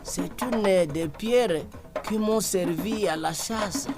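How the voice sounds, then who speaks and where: A woman's voice: medium pitch, takes it slowly, close to an everyday voice. A woman doing a curt, stubborn young hunter. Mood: offhand. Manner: curt, dismissive. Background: loud music.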